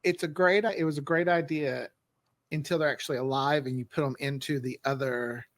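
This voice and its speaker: A man's voice: on the high side, steady tone, brisk, dry recording. A man from the United States chatting casually as a podcast co-host. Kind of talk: casual chat.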